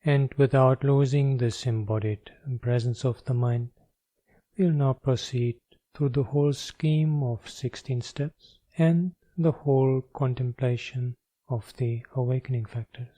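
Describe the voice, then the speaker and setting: A man leading a guided meditation as a teacher, speaking in measured, deliberate phrases of gentle instruction. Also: mid-range voice, fairly even intonation, no room sound, low-quality recording.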